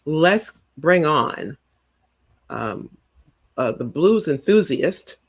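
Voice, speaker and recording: A woman hosting a podcast, introducing and questioning her guest in casual chat with encouraging prompts and quick laughs. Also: deep voice, big pitch swings. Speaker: podcast host.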